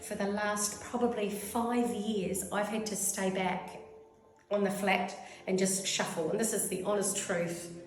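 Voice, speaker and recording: Woman, 50s, New Zealand accent, mid-pitched, rambling and effusive client testimonial, heavily echoey room. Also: fairly even intonation, a little slow. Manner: rambling, effusive, goes off on tangents. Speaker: clinic client giving a testimonial. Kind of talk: casual testimonial interview. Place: clinic room.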